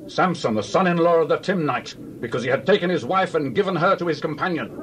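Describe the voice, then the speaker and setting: A man's voice: a little high, animated, fast, a little hiss or hum, natural voice. A man playing an angry, hostile Philistine in a dramatized Bible reading.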